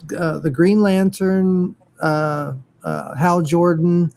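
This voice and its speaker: A man co-hosting a casual chat about comics, talking haltingly with many fillers and restarts. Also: a little high, expressive, darkish tone.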